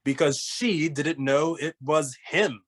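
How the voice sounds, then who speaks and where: A man playing a young amateur detective in a cartoon mystery table read, explaining crimes in a deliberate, theatrical way. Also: on the high side. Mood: dramatic, deductive. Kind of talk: animated comedy table read, dramatic deduction monologue.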